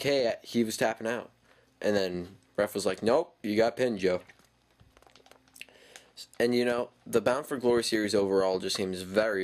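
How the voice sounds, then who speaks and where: A man with a slightly low voice and a stuffy nose from a cold gives a casual spoken review. He rambles, fills gaps with ums, and corrects himself mid-sentence, keeping an easygoing pace. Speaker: wrestling show reviewer.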